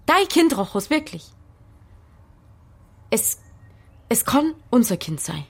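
A woman's voice: fairly high pitch, big pitch swings, takes it slowly, slightly rough, heavily acted. A woman playing a headstrong, pleading mother-to-be in a radio drama, with a Bavarian accent and an urgent, blunt manner. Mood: insistent. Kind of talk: radio drama acting in dialect.

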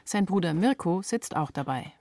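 A woman's voice: on the low side, lively intonation, normal speed, mellow, dry recording, studio quality. A woman reporter reading scripted narration for a radio feature.

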